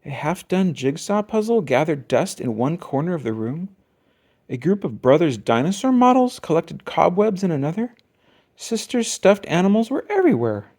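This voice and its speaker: Very animated children's storybook reader. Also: medium pitch, slightly rough. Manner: expressive, emphatic reading with drawn-out key words. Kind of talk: scripted children's storybook narration.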